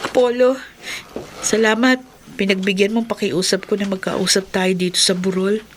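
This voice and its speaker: A woman's voice: mid-range voice, fairly even intonation, normal speed, a little hiss or hum, close to an everyday voice. A woman playing a lovesick, insistent young Filipina in a melodramatic, pleading horror radio drama style. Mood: tender, eager.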